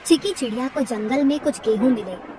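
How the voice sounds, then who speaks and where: High-voiced woman narrating a children's story. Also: normal speed, far from the mic, noisy background. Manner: steady, gently dramatic storytelling.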